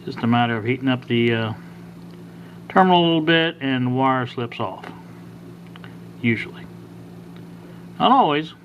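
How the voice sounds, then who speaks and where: A man's voice: medium pitch, animated, normal speed, long pauses, dull audio. A man in his sixties giving a casual, unscripted how-to talk in a hesitant, rambling way, as a hobbyist repair host. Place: kitchen at home. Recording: clear background noise, amateur home video.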